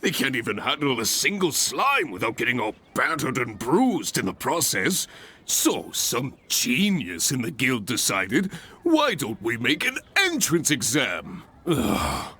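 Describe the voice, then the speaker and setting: A man's voice: medium pitch, wildly swooping pitch, a little slow, sharp tone, husky, exaggerated voice. A man voicing a blustery, grumbling guild branch master in a video game, with a theatrical, exasperated delivery. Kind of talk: scripted video game character acting.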